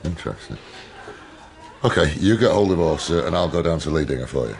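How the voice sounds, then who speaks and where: A man's voice: very deep voice, animated, brisk, gravelly, full character voice. A British man playing a surly, sardonic police detective with a blunt, bullying manner in a radio drama. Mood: businesslike. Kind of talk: naturalistic radio drama acting.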